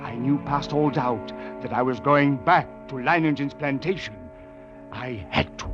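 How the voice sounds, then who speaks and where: An actor playing a troubled, fatalistic district commissioner, intense and brooding in an old-time radio drama style. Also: male voice, medium pitch, a little slow, natural voice. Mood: brooding, awed. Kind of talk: 1940s-50s radio drama narration and acting. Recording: muffled vintage radio recording, music behind.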